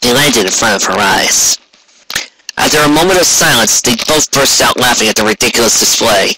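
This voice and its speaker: A man's voice: mid-range voice, expressive, moderate pace, background noise. A man reading scripted fan fiction aloud as an amateur audiobook narrator.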